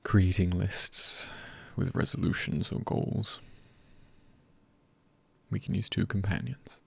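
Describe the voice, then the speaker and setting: A man speaking softly in an ASMR style, hushed and often near a whisper, giving gentle encouragement in deliberate, spaced-out phrases with a breathy, husky voice. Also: low-pitched, fairly even intonation, no echo. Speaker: ASMR creator. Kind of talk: ASMR, soft-spoken encouragement.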